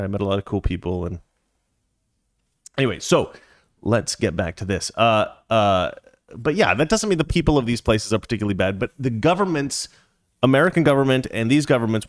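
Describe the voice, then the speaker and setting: A man's voice: lowish voice, no room sound, studio quality. A man hosting a talk show, giving casual political commentary in a rambling, conversational way that drifts into anecdotes.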